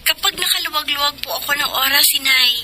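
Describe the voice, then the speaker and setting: A woman's voice: sits high, quick pace, bright, forceful tone, slight background noise. An actress in a radio drama playing a respectful young daughter who works in the city, far from her parents. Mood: polite. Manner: polite, respectful.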